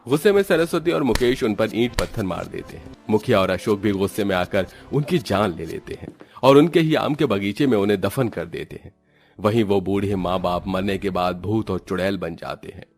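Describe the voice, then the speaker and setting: A man's voice: on the low side, animated, moderate pace, a little mellow. A man narrating a scripted horror cartoon story as a storyteller, with music behind him.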